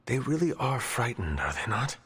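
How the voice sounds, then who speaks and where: An actor playing a stoic, courteous warrior in a video game, speaking in a formal, measured way. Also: male voice, medium pitch, sing-song, a bit bright, husky, exaggerated voice. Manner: formal, measured, avoids contractions. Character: stoic, formal male demon-hunting warrior, fearless and courteous. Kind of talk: video game cutscene acting. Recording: long reverb, faint music behind.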